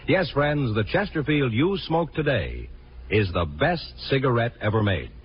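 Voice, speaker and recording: A man's voice: mid-range voice, very animated, unhurried. A man announcing a 1950s radio cigarette advertisement with emphatic, declamatory salesmanship. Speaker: commercial announcer. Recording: muffled, hissy 1950s radio recording.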